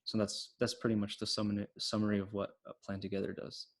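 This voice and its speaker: A man's voice: fairly low pitch, monotone, quick pace. A man on an online panel, walking through a website he runs in an eager, explanatory way with many fillers. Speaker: panelist, platform founder.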